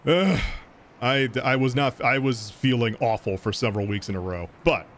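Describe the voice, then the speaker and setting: A man's voice: mid-range voice, normal speed. Chill guy streaming from home, lots of uh and like, laughs a bunch, bit of hum in the back. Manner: rambling, restarts and fillers, laughs often. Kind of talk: casual gaming stream chat, reading donations.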